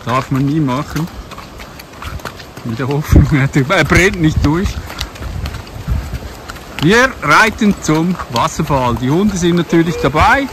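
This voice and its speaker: A woman talks casually as a travel vlogger. Her voice is recorded outdoors, with clear engine and water noise behind it. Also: low-pitched, expressive, slow pace, long pauses.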